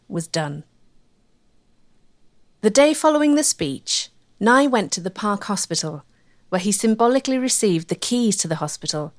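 A woman giving measured, scripted documentary narration. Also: mid-range voice. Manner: clear, measured storytelling.

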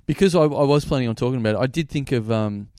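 A man's voice: mid-range voice, quick pace, mellow, no echo, clean studio sound. A man with an Australian accent chatting casually and riffing on jokes as a comedy podcast co-host in a living room. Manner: joking, riffing. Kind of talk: casual comic banter.

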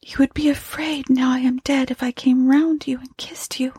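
A woman voicing a dead wife's ghost in a gothic story, weary, tender and pleading, with a faint, hollow, breathy voice. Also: high voice, little pitch movement, moderate pace, warm tone, dry recording, studio quality, voice pushed up. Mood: weary, hurt.

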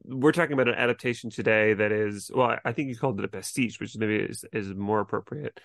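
Woman podcast host, really deep voice, dry sound, easygoing interview chat. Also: fast, gravelly, no echo. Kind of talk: casual conversational interviewing.

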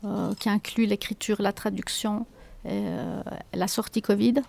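A woman author being interviewed, answering in a hesitant way with many pauses and restarts. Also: mid-range voice, steady tone, gravelly, a little mellow, a little hiss or hum. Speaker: author guest.

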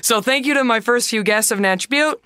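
A woman in her thirties from the United States with a deep voice, hosting a podcast in a chatty, joking way with sarcastic asides. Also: normal speed, sharp tone, smooth, no room sound. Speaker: podcast host. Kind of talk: solo podcast chat.

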